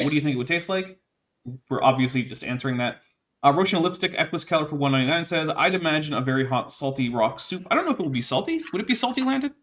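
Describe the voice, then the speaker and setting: Wry man hosting a live stream, slightly high. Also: a bit bright. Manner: wry, mildly formal phrasing with hesitant fillers. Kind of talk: casual chat, reading viewer messages aloud.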